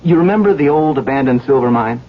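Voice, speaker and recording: An actor playing an earnest, troubled safety inspector in a radio drama, speaking in a hesitant, halting but insistent way. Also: male voice, mid-range voice, lively intonation, slight background noise, close to an everyday voice. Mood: anxious, insistent. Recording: dull old radio broadcast recording.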